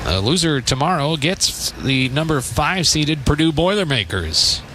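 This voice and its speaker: A man with a slightly high voice gives live radio baseball commentary. He is measured between pitches and ready to lift on the action. Clear outdoor ambient noise runs behind him. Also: sing-song. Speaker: baseball play-by-play announcer.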